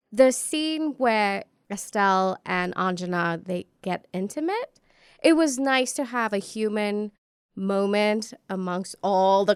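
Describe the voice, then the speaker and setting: A woman's voice: mid-range voice, clean tone, no room sound, studio quality. A woman chatting casually as a cast member in a panel Q&A.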